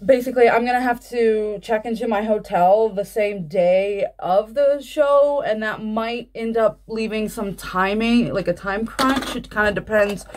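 A woman's voice: mid-range voice, a little slow, few pauses, a little mellow. A young adult woman vlogging at home, chatting casually and informally to the camera in a rambling way. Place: small room at home, indoors.

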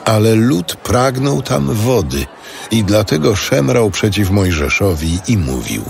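A man narrating a scripted biblical audio drama in a solemn, measured manner. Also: fairly low pitch, big pitch swings, gravelly, some chatter behind.

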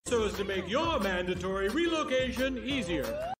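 A man's voice: extremely high pitch, big pitch swings, moderate pace, a crowd in the background. An actor voicing a pompous, cowardly male alien leader who speaks in broken grammar. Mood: announcing. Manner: boastful announcer tone, sudden squeals. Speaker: alien captain addressing his people. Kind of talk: animated film dialogue.

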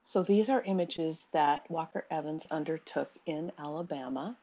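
A woman giving a recorded slide lecture in a measured, steady way, with the odd um. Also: sits low, muffled recording. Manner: measured, reads quotes aloud, occasional ums.

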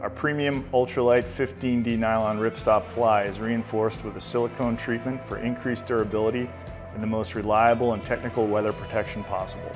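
A man reading a scripted promotional voice-over in a smooth, even, salesy manner. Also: on the low side. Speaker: ad narrator. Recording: voice-over over music.

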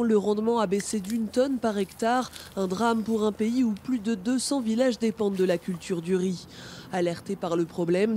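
A woman narrating a TV news report as scripted voice-over, with a measured, even delivery. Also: mid-range voice, a little hiss or hum.

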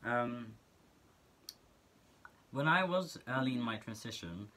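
Bright-voiced Norwegian teenage guy. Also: mid-range voice, animated, slow pace. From Norway. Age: teens. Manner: earnest, chatty, many filler words. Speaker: vlogger. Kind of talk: casual talk to camera. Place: small bedroom indoors.

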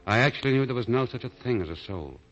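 An actor playing a romantic gentleman lover hiding sorrow, earnest and measured, in 1940s radio drama style. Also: mid-range voice, animated, close to an everyday voice. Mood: tender. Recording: muffled 1940 radio broadcast, faint music.

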